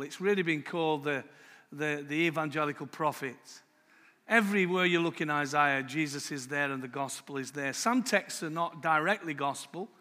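Loud high-voiced preacher in echoing hall. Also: male voice. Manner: loud, slow emphatic scripture reading. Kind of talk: sermon.

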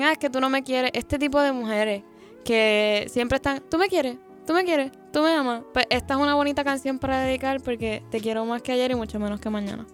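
A woman co-hosting a casual chat about music, explaining her views at length. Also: high-pitched. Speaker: podcast co-host.

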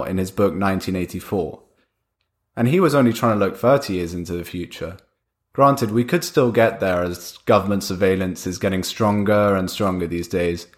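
A young man with a cold hosts a solo podcast in a lowish voice. He talks loosely and conversationally, thinking aloud with small restarts and fillers as he goes. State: has a cold. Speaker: podcast host. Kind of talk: casual solo podcast monologue.

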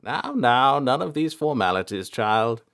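A man voicing a warm, doddery, excitable old elder in a storybook, fond and full of exclamations. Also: mid-range voice, measured pace, smooth. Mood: delighted.

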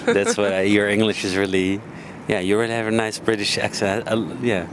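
A man's voice: fairly low pitch, flat delivery, fairly quick. Male interviewer, casual and jokey, recorded outdoors with street noise behind. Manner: jokey, many short backchannels. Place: outdoors in a city park.

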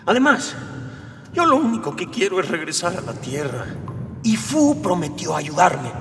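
A man's voice: high-pitched, sing-song, moderate pace, over music. A man with a Mexican accent playing a principled, skeptical earthling, emphatic and earnest, in a radio drama. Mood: earnest, wary. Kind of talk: Spanish-language radio drama acting. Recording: noisy, long reverb.

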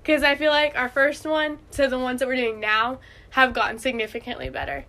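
A young woman co-hosts a casual podcast. Her voice is high and bright, and she talks in a loose, informal way, peppering her unscripted sentences with "like". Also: fairly even intonation, brisk, gravelly. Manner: informal, frequent "like" hedging. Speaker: podcast co-host.

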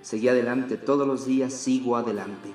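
A man reading aloud the letter of a lovesick Russian woman, in a tender, quiet and wistful way. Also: medium pitch, steady tone, normal speed, roomy sound, faint music behind, lightly acted. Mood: tender longing.

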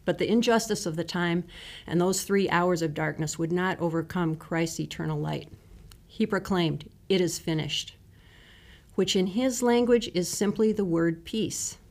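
A woman reading scripture and a written reflection in a measured, solemn manner. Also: on the low side, a little mellow. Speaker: devotional reader.

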